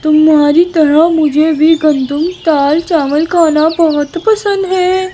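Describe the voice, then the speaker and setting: A performer voicing an earnest, pleading female eagle with a drawn-out delivery in a children's cartoon story. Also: high voice, fairly even intonation, mellow, slight background noise. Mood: firm, friendly.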